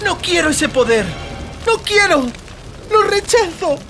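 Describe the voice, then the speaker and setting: A woman's voice: extremely high pitch, very animated, slow pace, over music, exaggerated voice. A woman playing a frightened, defiant girl in an audiobook drama, crying out emphatically. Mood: desperate refusal. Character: frightened, defiant girl refusing a dark power.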